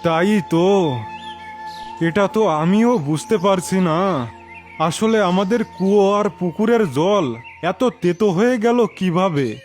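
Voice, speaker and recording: A man's voice: high-pitched, slow, long pauses, a little mellow, faint music behind. A man voicing a worried, puzzled village landlord in a cartoon fairy tale, exclaiming in bewilderment. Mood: dismayed.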